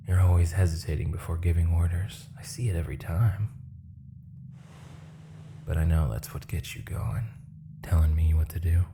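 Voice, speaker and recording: Seasoned space crewmate, played by a man: lowish voice, teasing, breathy, chatty ASMR roleplay delivery over clearly noisy ambience. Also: dark tone. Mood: playful, flirty. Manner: teasing, breathy, dropping into near-whispers. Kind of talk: intimate ASMR roleplay acting.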